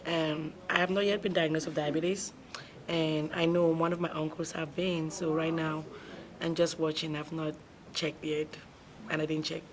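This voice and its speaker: A woman's voice: deep voice, little pitch movement, normal speed, poor audio. A young woman from Cameroon, a nursing student, answering interview questions in a plain, matter-of-fact way.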